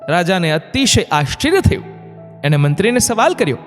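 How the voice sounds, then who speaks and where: A man narrating a scripted moral story in an expressive, dramatic way. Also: sits high, a little fast. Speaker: narrator of motivational stories. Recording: long hall reverb, music bed. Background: background music.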